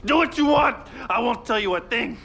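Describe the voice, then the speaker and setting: A man's voice: very high-pitched, animated, fairly quick, vocal fry, heavy background noise, exaggerated voice. An actor playing a terrified captured cartel man in a game cutscene, strained with pain and panic. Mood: defiant. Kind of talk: video game cutscene acting.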